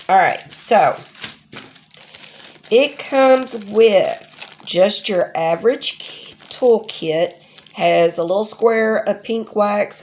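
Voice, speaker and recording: Woman host, middle-aged, nasal with a cold, unhurried homey chatter with plenty of ums. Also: medium pitch, vocal fry, a little mellow, slight background noise. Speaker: crafting channel host. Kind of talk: casual unboxing vlog.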